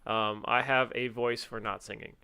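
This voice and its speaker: Шутливый ведущий подкаста, звонкий голос. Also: male voice, fairly low pitch, level tone, normal speed, gravelly, sharp tone, clear, resonant tone. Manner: playful, jokey, upbeat. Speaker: podcast co-host. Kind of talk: casual podcast chat about music teaching.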